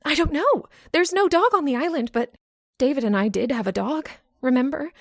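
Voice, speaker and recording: An actress playing an anxious, frightened widow in an old-time radio horror drama, agitated and given to exclaiming. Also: female voice, high voice, expressive, normal speed, no room sound, clean studio sound. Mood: anxious, haunted.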